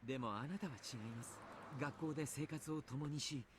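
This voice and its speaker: An actor playing a gentle, courteous adult mentor with formal, sincere phrasing in a Japanese visual novel. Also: male voice, mid-range voice, very fast, background noise, close to an everyday voice. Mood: earnest, encouraging.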